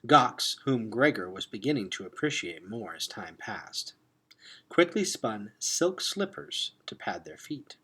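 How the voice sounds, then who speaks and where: A man narrates a children's novel in a slightly low, husky voice. His pitch swings widely as he builds suspense, often sinking toward a hush. The delivery is expressive, like a teacher reading to a class. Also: measured pace. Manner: expressive, drops to hushed tones for suspense. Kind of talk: dramatic read-aloud narration of a children's novel.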